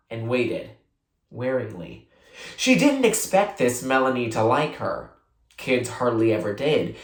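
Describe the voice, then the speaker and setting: Read-aloud narrator for children's fiction. Animated, deliberate delivery, roomy sound. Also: mid-range voice. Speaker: storybook reader. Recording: roomy echo.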